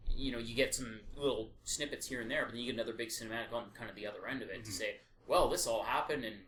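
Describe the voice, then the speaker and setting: A man's voice: mid-range voice, racing, a bit bright. Man podcasting in an echoey room. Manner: opinionated, explanatory, casually profane. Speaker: podcast co-host. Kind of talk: casual podcast discussion. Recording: echoey room with faint background noise.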